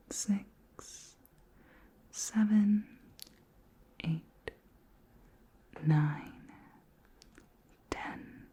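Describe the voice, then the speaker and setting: A woman voicing a curious, tender alien queen in a soft, breathy whisper for an ASMR roleplay. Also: fairly low pitch, exaggerated voice. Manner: slow, gentle, formally worded, soothing. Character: alien queen, curious, clinical yet tender, flirtatious scientist.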